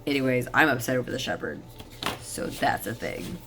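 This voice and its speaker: A woman storyteller who reads fairy tales and comments on them in an informal, rambling, expressive way. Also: extremely low pitch, normal speed, very noisy. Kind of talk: storybook narration with casual chatty commentary. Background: soft ambient background music.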